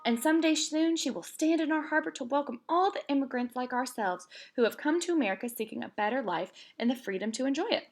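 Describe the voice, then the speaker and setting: Storybook dad, warm-hearted immigrant father, lively high reading, read by a woman. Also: fast talker, hardly any pauses. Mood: gentle. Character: a kind, upbeat immigrant father.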